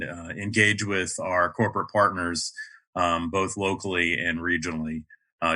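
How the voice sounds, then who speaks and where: A man in his fifties from North Carolina with a neutral mid-Atlantic American accent, speaking as a podcast guest in a measured way, with frequent ums. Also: sits low, sharp tone. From North Carolina, USA. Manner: measured, many ums and uhs. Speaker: podcast guest, project manager. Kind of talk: casual interview talk.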